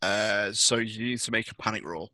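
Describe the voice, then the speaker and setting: A man's voice: fairly low pitch, normal speed, bright, forceful tone, dry recording. A man working as game master, improvising narration for a tabletop horror role-playing game.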